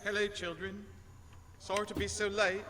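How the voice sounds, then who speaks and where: A man playing a gentle, grieving father in a radio play, speaking tenderly and earnestly with some halting. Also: high voice, flat delivery, heavy background noise, close to an everyday voice. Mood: subdued, tender. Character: gentle, grieving, loving working-class father. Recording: long hall reverb.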